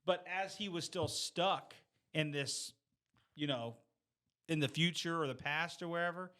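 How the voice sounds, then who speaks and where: A man's voice: on the high side, unhurried, bright, forceful tone. A man talking casually as a panelist in a group conversation. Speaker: podcast panelist. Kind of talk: casual chat.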